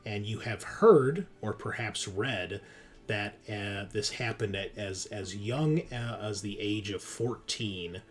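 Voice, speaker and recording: A man narrating a tabletop roleplaying game as game master, explaining things conversationally with pauses mid-sentence. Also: on the low side. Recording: music behind the voice. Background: background music.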